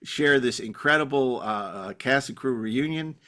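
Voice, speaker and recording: A man hosting a podcast in casual, rambling chat, halting often and repeating his words. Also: mid-range voice, sharp tone. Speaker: podcast host.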